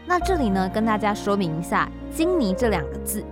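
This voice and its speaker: A woman's voice: medium pitch, big pitch swings, a little fast. A woman with a Taiwanese Mandarin accent hosting a podcast and introducing it in a casual, explanatory way. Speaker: podcast host and scriptwriter. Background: background music.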